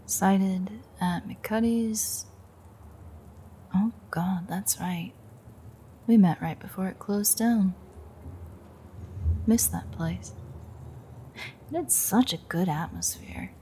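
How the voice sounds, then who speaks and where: A woman playing a smug, predatory female vampire who teases and menaces her captive in an intimate, unhurried audio roleplay monologue. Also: mid-range voice, mellow, slight background noise. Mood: wistful, sly. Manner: teasing, sardonic, unhurried, menacing.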